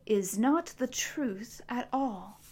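A woman voicing a huge, ancient tree monster that speaks calmly and slowly. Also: a little high, husky, exaggerated voice. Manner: slow, measured, soothing.

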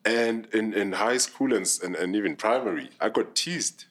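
A young man with a deep voice, a supportive host in a casual interview who keeps agreeing briefly. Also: moderate pace, a bit bright. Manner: supportive, with frequent short agreements.

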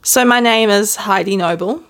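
A woman with a New Zealand accent speaks at a middle pitch with a noticeable gravelly fry running through her words. She talks to camera in a gentle, candid and reflective way, often with a soft laugh at herself. It sounds like an unscripted home recording. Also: moderate pace. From born in the Netherlands, raised in New Zealand. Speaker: podcast host. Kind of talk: casual reflective monologue to camera. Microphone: self-filmed video camera.

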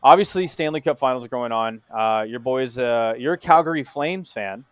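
A man's voice: mid-range voice, normal speed, smooth, dry recording. A man hosting a podcast, asking questions in a loose, easygoing way with lots of fillers. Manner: easygoing, filler-heavy, chatty. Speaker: podcast host. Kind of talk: casual podcast interview.

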